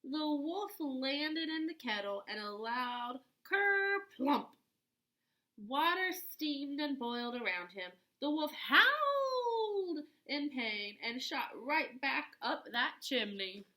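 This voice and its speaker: Woman storyteller, bright highish voice, slow, dramatic pauses, expressive read-aloud for children. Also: low-quality recording.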